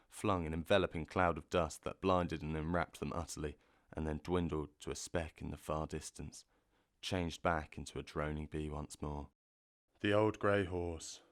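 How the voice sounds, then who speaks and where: A man narrating a classic children's story with measured, expressive delivery on a close mic. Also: sits low, dry recording. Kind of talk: audiobook narration.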